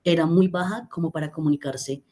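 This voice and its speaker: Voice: medium pitch, steady tone, brisk, a little mellow. A student answering a teacher's question about a research project, with frequent fillers and restarts. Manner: hesitant, many fillers.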